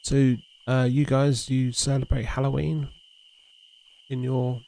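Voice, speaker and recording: A man hosting a game livestream, chatting in a relaxed, rambling way with a gravelly voice. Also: medium pitch, slow pace, rough recording. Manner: relaxed, rambling, talks to chat and reads messages aloud. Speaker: livestream host. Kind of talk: casual chat while streaming a fishing game.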